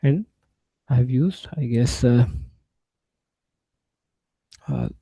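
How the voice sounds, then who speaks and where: A man giving an informal software walkthrough, explaining step by step in a halting way. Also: medium pitch, creaky, slightly rough, dry recording. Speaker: SAP instructor.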